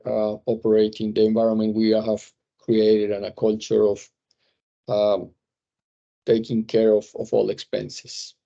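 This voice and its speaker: A man's voice: fairly low pitch, creaky, warm tone. Man presenting results over a dull line. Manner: measured, hesitant with frequent fillers and restarts. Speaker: company executive presenting results. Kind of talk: earnings call presentation.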